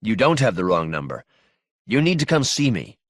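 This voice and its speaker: A man's voice: fairly low pitch, very animated, normal speed, no room sound, clean studio sound. A man voicing a cold, controlled killer in an audiobook, speaking with precise, insistent menace. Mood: coldly menacing.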